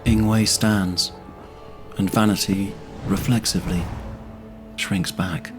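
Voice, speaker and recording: Deep, slow-spoken male narrator. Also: fairly even intonation, music in the background. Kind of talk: scripted fantasy audio drama narration.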